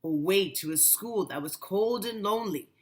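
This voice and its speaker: A woman voicing a sad, gentle elderly grandfather in a storybook, in a slow, subdued, sorrowful manner. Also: mid-range voice, lively intonation, lightly acted. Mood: pained, sombre.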